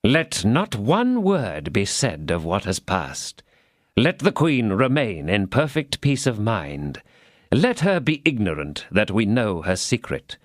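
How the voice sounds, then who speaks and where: A scheming older cardinal talks in a smooth, measured, falsely fatherly manner, as a man plays him. The voice is lively in pitch and the recording is dry and close. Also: dry recording, exaggerated voice. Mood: commanding. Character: scheming, cold-hearted older male cardinal.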